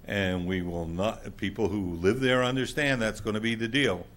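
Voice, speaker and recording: Loud, deep-voiced man speaking bluntly. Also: steady tone, normal speed. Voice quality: loud, booming voice. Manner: blunt, plainspoken, with many fillers. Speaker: public commenter at a council meeting. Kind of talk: public comment speech. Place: council chamber.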